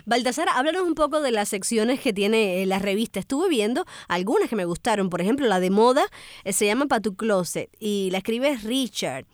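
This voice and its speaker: Female radio host, on the high side, dry booth. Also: animated, a little fast, no echo. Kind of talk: radio interview, reading aloud.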